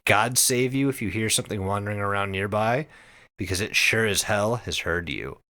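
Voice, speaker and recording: A man's voice: fairly low pitch, moderate pace, bright, forceful tone. A man co-hosting a podcast, telling stories casually in an emphatic way with plenty of swearing. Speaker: podcast co-host.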